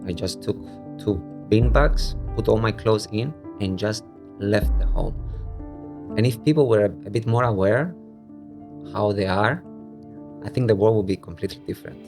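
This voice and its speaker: Podcast guest, man, thirties, fairly low voice, personal and reflective, soft music underneath. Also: moderate pace, pauses often. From Cyprus, born in Bulgaria. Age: 30s. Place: home studio at a dining table.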